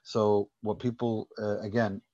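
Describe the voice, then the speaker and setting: A man's voice: lowish voice, flat delivery, a little slow, darkish tone, muffled recording. A man from Sweden, a football journalist guesting on a podcast, explaining his views at length with firm confidence. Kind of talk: casual podcast discussion.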